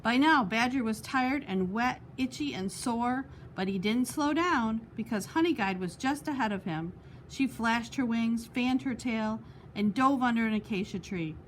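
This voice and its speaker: A woman's voice: fairly high pitch, measured pace, a little hiss or hum, rough recording. A woman reading a children's picture book aloud expressively, as a storytime host. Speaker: storytime reader.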